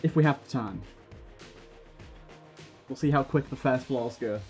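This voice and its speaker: A man's voice: mid-range voice, a little slow, music in the background. A man hosting a gaming video with casual, sweary, grumbling commentary.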